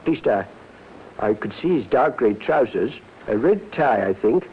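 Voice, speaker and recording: Nervous, halting male witness, tinny old radio. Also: mid-range voice, expressive, slightly rough. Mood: hesitant, recalling. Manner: hesitant, stammering, halting. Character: nervous, short male witness, hesitant and eager to help. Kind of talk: old radio crime drama acting. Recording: muffled, noisy old radio drama recording.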